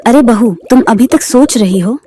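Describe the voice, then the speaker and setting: Naggy indian saas in a cartoon done by a woman, kinda high but mellow, complains and orders around. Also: lively intonation, normal speed, slight background noise, close to an everyday voice. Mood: bossy. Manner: scolding, complaining, commanding. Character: nagging middle-aged Indian mother-in-law, quick to scold. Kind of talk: Hindi moral-story cartoon acting.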